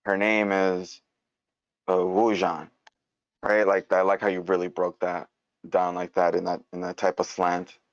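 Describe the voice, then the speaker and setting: Low-voiced man, muffled call audio. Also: monotone, measured pace, no room sound. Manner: hesitant, searching for words, repeating himself. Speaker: participant giving script feedback. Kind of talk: informal spoken critique. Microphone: online meeting mic.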